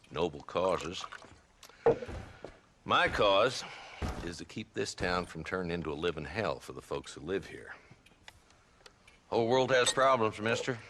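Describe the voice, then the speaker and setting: An actor playing a stern, world-weary, middle-aged Old West marshal in a video game, sardonic, with an American Southern accent. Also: male voice, sits low, background noise. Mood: defensive, weary. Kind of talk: Western video game acting.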